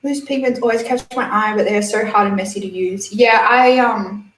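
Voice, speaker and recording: A young woman hosts a casual beauty livestream, chatty and quick to giggle as she reads comments. Her voice sits at an ordinary pitch. It carries the echo of a room and sounds a little distant from the microphone. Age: 20s. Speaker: beauty channel host. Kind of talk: casual livestream chat reading viewer comments. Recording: roomy, slightly distant mic.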